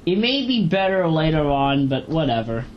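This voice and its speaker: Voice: medium pitch, very animated, normal speed. Muffled, rambling amateur reviewer of unclear gender. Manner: rambling, repeats and corrects themself. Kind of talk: casual rambling audio log review. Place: at home, moving between kitchen and bedroom. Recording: muffled, noisy home audio with fan. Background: fan running.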